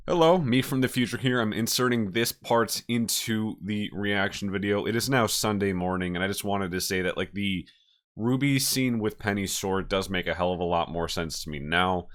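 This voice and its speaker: A man hosting a reaction video with casual, unscripted commentary, halting and emotive, often repeating his phrases. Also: mid-range voice, a bit bright.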